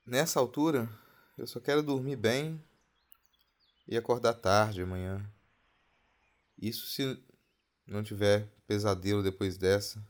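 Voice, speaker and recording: A man's voice: fairly low pitch, fairly even intonation, a little slow, long pauses, natural voice. A man with a Brazilian accent playing a weary, rattled man in a naturalistic horror audio drama. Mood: tired, casual.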